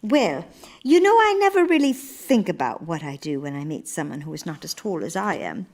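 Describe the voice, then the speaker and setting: An actress playing a principled, dignified older in live radio theater, speaking in a measured, formal and earnest way. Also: female voice, on the low side, big pitch swings, darkish tone. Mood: thoughtful.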